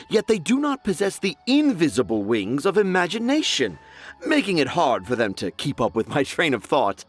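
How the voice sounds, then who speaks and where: A man voicing a pompous male writer in a game, grandiloquent and theatrical. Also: fairly high pitch. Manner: grandiloquent, self-satisfied, theatrical. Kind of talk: game voice acting.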